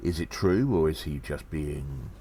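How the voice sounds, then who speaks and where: A man playing a self-important, cheeky gnome undercover agent, delivering a deliberate and theatrical audio drama monologue. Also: extremely low pitch, warm tone, slight background noise. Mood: grave, concerned.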